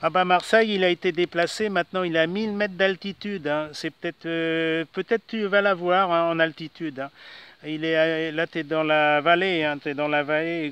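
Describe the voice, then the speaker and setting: A man from France talks casually over amateur radio in a high, clear voice. He is chatty, peppering his talk with small tag words and sign-offs in the manner of a radio hobbyist. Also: a bit bright. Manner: chatty, frequent "hein" tags. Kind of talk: casual ham radio conversation. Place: outdoors.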